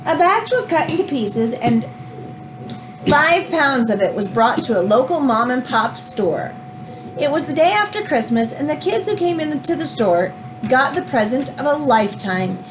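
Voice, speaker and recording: A woman reads a children's book aloud in an expressive, teacherly way, adding explanatory asides as she goes. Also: sits high, moderate pace, background noise.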